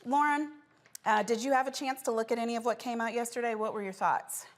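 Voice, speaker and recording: A woman's voice: fairly high pitch, steady tone, fast. An American woman hosting a political panel discussion, speaking in an insistent, pointed way. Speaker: news commentary show host.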